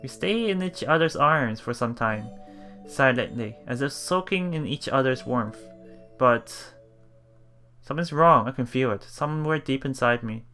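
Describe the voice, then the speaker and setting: A man reading a visual novel aloud for a Let's Play, expressive and dramatic in first-person narration. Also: medium pitch, measured pace, soft background music. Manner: expressive, dramatic, with murmured reactions.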